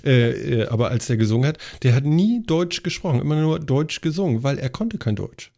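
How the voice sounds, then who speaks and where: A man's voice: medium pitch, animated, a little fast, creaky, warm tone. A man hosting a casual podcast chat, joking and steering back to the topics. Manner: jokey, leads the topics. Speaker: podcast host.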